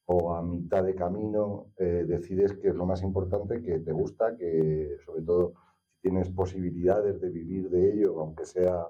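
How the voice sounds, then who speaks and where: A man's voice: low voice, steady tone, a little slow, husky. A middle-aged or older man from Castilla-La Mancha, with an accent from Spain, talking reflectively and hesitantly as an interview guest. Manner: hesitant, thoughtful, digressive. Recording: slightly dull, lo-fi sound.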